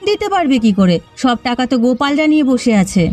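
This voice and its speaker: A woman's voice: high voice, very animated, a little fast, darkish tone. A woman voicing a schemer's spiteful wife in a cartoon, gloating and mocking, with music behind her. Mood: gloating, amused. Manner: gleeful, mocking. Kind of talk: exaggerated cartoon villain dialogue.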